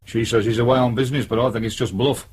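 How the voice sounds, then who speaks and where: A British actor playing a dogged, blunt police detective sergeant in a radio drama, with brisk, gruff colloquial talk. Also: male voice, mid-range voice, steady tone, a little mellow, lightly acted. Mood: businesslike.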